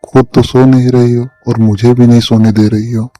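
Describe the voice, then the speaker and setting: A man's voice: mid-range voice, flat delivery, moderate pace, warm tone, dry recording, soft background music, lightly acted. A man voicing a tired, grumpy, dismissive village husband in a horror cartoon. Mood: irritated.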